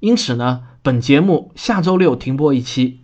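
A man with a mid-pitched voice hosts a podcast. He talks to his listeners in an orderly, explanatory manner, as if working through prepared remarks. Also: animated, moderate pace, lo-fi sound. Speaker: podcast host and radio drama writer. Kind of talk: scripted spoken show commentary.

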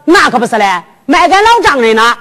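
A woman storyteller playing a cheeky, blustering young fisherman with a Henan accent and a folksy, excitable manner. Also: on the high side, fast talker. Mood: cheeky, boastful. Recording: long reverberant hall echo.

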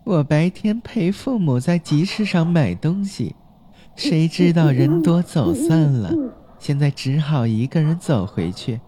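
A woman's voice: low voice, expressive, a little slow, dark tone. A woman playing a petite young maiden in an audio drama, coy, sweetly polite and a little mysterious. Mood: demure, inviting.

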